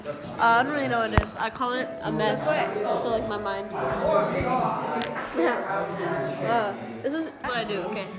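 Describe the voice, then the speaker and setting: A woman's voice: on the high side, normal speed, pauses often, loud music behind the voice. A teenage girl vlogging her school day on a handheld phone, chatty and playful. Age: teens. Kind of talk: casual school-day vlog. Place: busy school cafeteria and classrooms. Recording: loud crowd chatter and noise.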